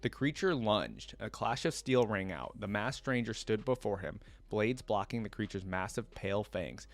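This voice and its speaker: A man's voice: on the low side, animated, moderate pace. A man hosting a reaction video, reading a fantasy story aloud and breaking off for casual comments.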